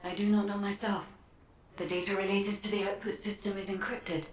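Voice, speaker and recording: An actress voicing a polite, calm female artificial intelligence guide in a video game, measured, formal and literal. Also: mid-range voice, reverberant, slight background noise, close to an everyday voice, low-quality recording. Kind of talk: video game cutscene acting.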